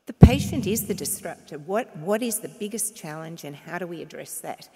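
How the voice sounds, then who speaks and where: A woman's voice: on the low side, lively intonation, moderate pace, dark tone. A woman answering confidently on a panel, speaking with emphasis. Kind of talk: panel discussion. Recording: reverberant hall.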